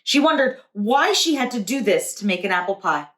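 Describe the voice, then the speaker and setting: A woman's voice: fairly high pitch, sing-song, normal speed, a bit bright. A woman, a preschool teacher, reading a children's storybook aloud. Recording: echoey room.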